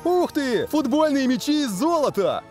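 An excitable young cartoon footballer. The voice is extremely high and very animated, wide-eyed, with faint music underneath. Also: male voice, normal speed. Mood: amazed.

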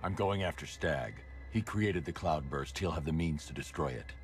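A grim masked hero from a video game. His voice sits extremely low and he talks in clipped, deadpan statements, fully controlled, with light music underneath. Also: normal speed. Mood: grim, determined. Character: grim, stoic masked male vigilante hero. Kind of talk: scripted video game acting.

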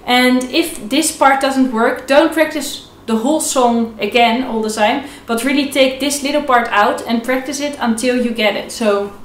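A woman's voice: sits high, normal speed, few pauses, slight background noise. A young woman giving an informal, step-by-step instructional lesson as a violin teacher, repeating key points in an encouraging way. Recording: echoey room. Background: violin playing between phrases.

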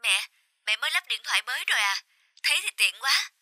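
A woman's voice: fairly high pitch, very animated, fast talker, sharp tone, dry recording, exaggerated voice. A woman voicing a caring young son in a cartoon, speaking in an earnest, apologetic way. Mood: concerned. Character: caring young adult son living far from home. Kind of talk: animated moral tale voice acting.

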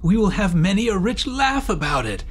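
A man's voice: sits high, big pitch swings, normal speed, slightly rough, voice pushed up, full character voice. A man voicing a doomed nobleman walled in alive, forcing jests and pleading in a gothic horror audiobook. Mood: forced merriment. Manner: forced jesting, pleading.

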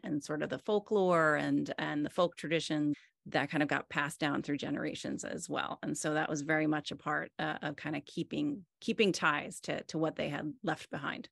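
Low, gravelly-voiced woman on a video call. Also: no room sound, clean studio sound. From United States. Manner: relaxed, thoughtful, many fillers and restarts. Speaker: artist. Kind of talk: conversational interview. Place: home room with wood-panelled wall.

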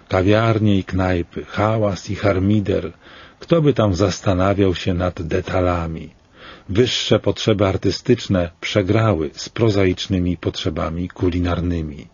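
A man in his sixties reading a scripted commentary in a slow, grave and deliberate way, with rhetorical questions. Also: low voice, darkish tone, husky, no echo, highs cut off.

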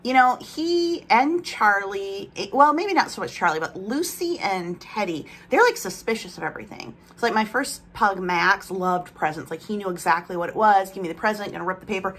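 A woman's voice: medium pitch, expressive, normal speed, hardly any pauses, fairly bright tone, breathy edge. A woman in her thirties chatting casually in a home vlog, rambling while she unboxes products, with a slight lisp from aligners. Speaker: vlogger. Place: bedroom at home, indoors.

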